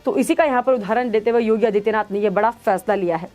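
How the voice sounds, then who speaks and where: A woman's voice: a little high, fast talker, a little mellow, lo-fi sound. A woman news presenter giving emphatic, opinionated commentary as she explains a story to viewers. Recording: music under the voice. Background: background music.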